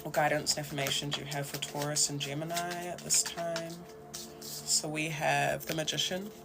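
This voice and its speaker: A man with a New Zealand accent giving a casual, conversational tarot reading in a reflective, halting way. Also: fairly high pitch, level tone, vocal fry, music in the background. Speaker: tarot reader and host.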